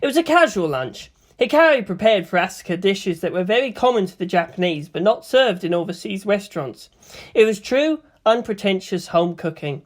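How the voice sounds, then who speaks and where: A woman's voice: medium pitch, big pitch swings, normal speed. A woman narrating a scripted story in the manner of an audiobook reader. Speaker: fan fiction reader.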